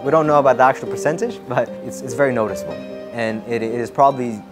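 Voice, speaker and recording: A young man from Japan answers interview questions in a thoughtful, measured way, with an ordinary mid-range voice. He pauses and restarts as he works out his thoughts. A clear music track plays beneath him. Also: mid-range voice. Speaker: interviewee, religion student.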